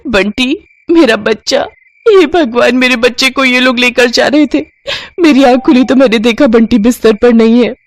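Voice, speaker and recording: A woman acts out a distraught young mother in a storybook drama. Her high voice is frantic, wailing and full of tears. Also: normal speed, lightly acted. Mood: tearful shock. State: crying. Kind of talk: dramatised moral story acting.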